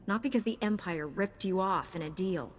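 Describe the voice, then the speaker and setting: A woman's voice: medium pitch, normal speed, slight background noise, natural voice. A woman playing a principled young Jedi knight in a video game, speaking firmly and resolutely. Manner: firm, resolute. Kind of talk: video game cutscene dialogue. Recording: long reverb, clear background ambience.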